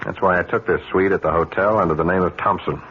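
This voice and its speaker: A man's voice: low voice, steady tone, quick pace. An American actor playing a cynical, persuasive schemer in a radio drama, insistent and smug as he makes his case. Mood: smugly persuasive. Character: cynical, persuasive schemer sure everyone is corruptible. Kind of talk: 1970s radio drama acting. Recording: muffled vintage radio recording, faint noise.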